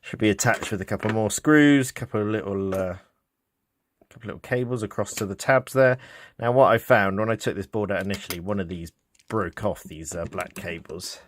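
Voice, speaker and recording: Deep-voiced British man, casual and hesitant. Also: brisk, slight background noise. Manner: hesitant, thinking aloud. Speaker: hobbyist repair presenter. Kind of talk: casual unscripted repair demonstration. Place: indoors, small office.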